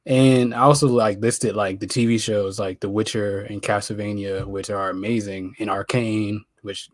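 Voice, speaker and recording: A man's voice: on the low side, steady tone, moderate pace, no echo. An American man co-hosting a pop culture podcast, talking casually and informally with plenty of slang and opinions. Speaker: podcast co-host.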